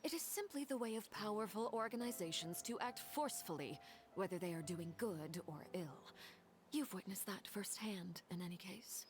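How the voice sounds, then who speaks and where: A woman acting a calm, formal female agent of a powerful organization in a video game, over music. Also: mid-range voice, normal speed, a little hiss or hum, natural voice. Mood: cool, sincere. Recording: music behind the voice.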